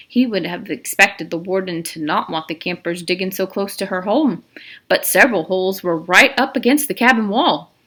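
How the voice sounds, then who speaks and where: A woman's voice: mid-range voice, a bit bright. A woman narrating a novel as a read-aloud, reading expressively with an occasional stumble. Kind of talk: storybook read-aloud with brief asides.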